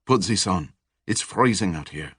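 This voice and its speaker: A man's voice: low-pitched, expressive, normal speed, a bit bright, husky, no room sound, studio quality, exaggerated voice. A man voicing a fussy, overprotective French expedition commander with a French accent and a bossy manner, in an audiobook. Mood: fussy, insistent. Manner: fussing, bossy.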